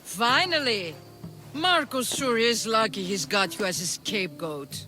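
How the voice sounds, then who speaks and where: Very high-voiced brash merchant. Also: male voice, lively intonation, a little slow, sharp tone, soft background music, heavily acted. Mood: demanding. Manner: blunt, demanding. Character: brash, foul-mouthed, impatient bow maker and merchant. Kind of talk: video game cutscene acting.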